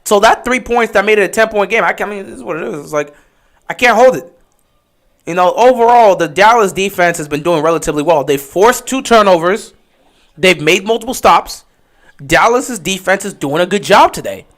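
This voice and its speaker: A man giving casual live play-by-play commentary on a stream, getting excited and loud on big plays. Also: high-pitched, brisk. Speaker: livestream game commentator.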